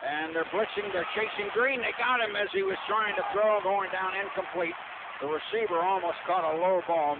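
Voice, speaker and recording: A man doing live radio play-by-play of American football, partisan and speaking in terse bursts. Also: a little high. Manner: partisan, terse bursts, says "we" for the home team. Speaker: play-by-play sports announcer. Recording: tinny band-limited radio broadcast, noisy.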